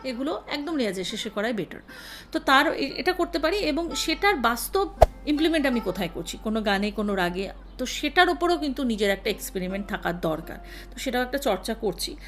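Female vocal coach, higher voice, calm explainer, soft music bed. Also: a little fast. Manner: explaining calmly to students. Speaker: singing teacher. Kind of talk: casual spoken lesson.

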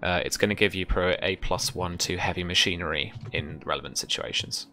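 A man in his thirties narrating a tabletop game as game master, with a hesitant, halting delivery. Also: low-pitched, sharp tone, slight background noise. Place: home room, indoors.

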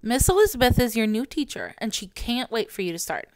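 A woman's voice: fairly high pitch, sing-song, brisk, music in the background, poor audio. A woman voicing a loving parent in a picture book, coaxing and soothing a small child. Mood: firm but tender. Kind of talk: picture book character reading.

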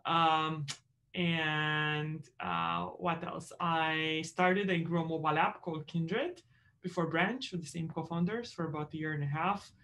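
A woman's voice: low voice, steady tone, measured pace, fairly bright tone. A woman from Romania co-hosting a webinar in an upbeat, chatty way with fillers. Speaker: webinar co-host. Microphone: video call.

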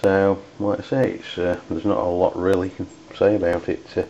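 A man with an English accent giving an unscripted product review in a hesitant, halting way. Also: sits low, level tone, mellow. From United Kingdom. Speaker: amateur radio reviewer. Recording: dull lo-fi audio with background noise.

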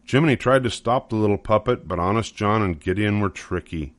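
A man's voice: on the low side, brisk, no echo. An older man reading a children's story aloud as scripted narration. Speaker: storybook reader.

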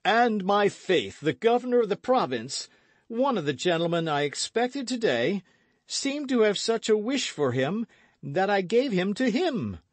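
A man's voice: sits high, very animated, unhurried, no echo, voice pushed up, exaggerated voice. A man voicing a boastful, hearty gourmand musketeer with a grand, exclamatory delivery in a storybook reading. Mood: blustering, self-justifying.

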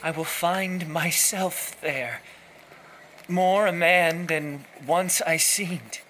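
An actor playing a shy, awkward young 1940s poet with a halting, hesitant manner in an audio drama. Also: male voice, fairly high pitch, sharp tone, slight background noise, exaggerated voice. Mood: brooding recitation. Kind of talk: period horror audio drama acting.

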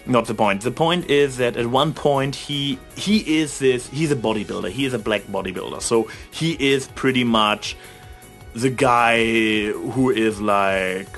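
A man's voice: mid-range voice, a little slow, a bit bright. Casually rambling man, emphatic, over music. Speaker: vlogger.

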